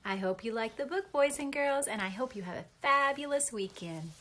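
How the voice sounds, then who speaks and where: A woman reading a children's picture book aloud as a storyteller, with expressive, deliberate delivery for young listeners. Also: a little high.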